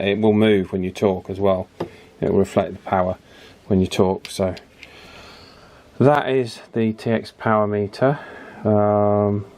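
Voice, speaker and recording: A man giving a casual, hands-on repair demonstration, chatty and unhurried, thinking aloud as he works. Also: lowish voice, gravelly, dark tone. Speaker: radio repair video presenter. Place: indoor electronics repair bench.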